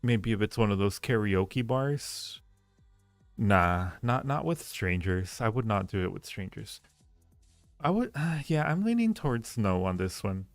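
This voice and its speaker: Low, creaky-voiced man chatting on stream. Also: animated, unhurried, no room sound, faint music behind. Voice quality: low-pitched, gravelly creak. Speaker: livestream host reading viewer chat. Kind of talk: casual livestream chat with viewers.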